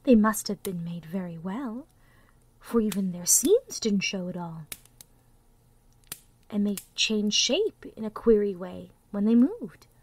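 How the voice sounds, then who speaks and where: A woman narrating a children's storybook expressively, with dramatic delivery that often drops toward a hush. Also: medium pitch, lively intonation, slow, long pauses.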